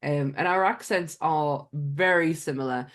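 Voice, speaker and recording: Woman, Mackem accent, podcast co-host, lowish voice, giggly, casual swearing. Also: measured pace. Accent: Sunderland (Mackem). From Sunderland, England. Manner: giggly, swears casually, trails off mid-sentence. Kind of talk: casual chat.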